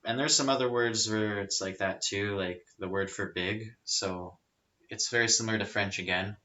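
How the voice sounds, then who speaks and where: A man with a lowish, bright, crisp voice talks easily as a podcast guest. He explains things at an easy pace with lots of casual fillers. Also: normal speed. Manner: relaxed, explanatory, with frequent fillers. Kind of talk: casual chat. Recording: rough lo-fi audio.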